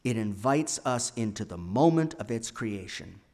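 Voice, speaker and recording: A man in his sixties reading a sermon from a script at a church lectern, with deliberate, emphatic phrasing and pauses. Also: fairly low pitch, lively intonation, a little mellow. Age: 60s. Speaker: speaker presenting a sermon at a church. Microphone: gooseneck lectern microphone.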